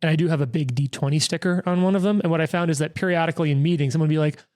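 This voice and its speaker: A man's voice: fairly high pitch, rapid-fire, darkish tone. Chatty game master, a man in his thirties. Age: 30s. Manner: rambling, self-deprecating, with quoted asides and restarts. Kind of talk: casual chat at the gaming table.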